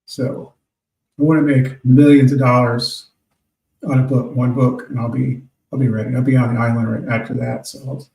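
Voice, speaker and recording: Gravelly rambling man in his fifties. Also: mid-range voice, level tone, normal speed, mellow, far from the mic. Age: 50s. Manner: meandering, reads out listener names. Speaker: internet radio host. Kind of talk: rambling casual talk to listeners. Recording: echoey room, voice off-mic.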